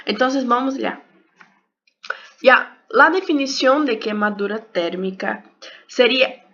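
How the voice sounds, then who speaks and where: Slow, bright young woman, Brazilian accent. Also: on the high side. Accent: Brazilian Portuguese. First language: Portuguese. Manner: hesitant, searching for words in a second language. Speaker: health sciences YouTuber. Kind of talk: informal video lesson. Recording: dull lo-fi sound.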